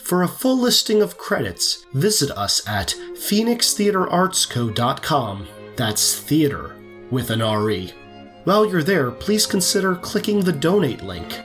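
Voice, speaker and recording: Slow, lilting male announcer over music. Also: on the high side, very animated, fairly bright tone. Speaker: credits announcer. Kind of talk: scripted credits announcement. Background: music with violin.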